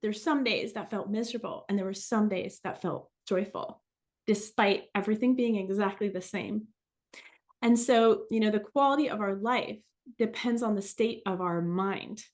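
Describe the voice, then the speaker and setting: A woman's voice: medium pitch, normal speed, darkish tone. A middle-aged woman from France, a native French speaker, giving an informal, reflective talk as a guest researcher.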